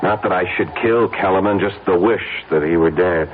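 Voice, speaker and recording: A man's voice: sits low, moderate pace, lightly acted. An American man playing an uneasy, reluctant married blackmail victim in a vintage radio drama. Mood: uneasy, defensive. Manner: hesitant, defensive. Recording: noisy narrowband old radio recording.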